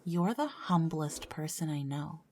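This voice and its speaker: A woman's voice: low-pitched, lively intonation, unhurried, darkish tone, exaggerated voice. A woman playing a catty schoolgirl sidekick who taunts and mocks sarcastically in an audio drama. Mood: indignant. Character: catty schoolgirl sidekick bully, mocking, egging on.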